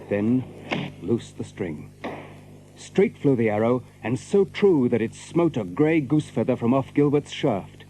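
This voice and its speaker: An actor playing a measured storyteller, reading scripted narration of a medieval adventure. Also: male voice, medium pitch, warm tone, slight background noise, natural voice, rough recording.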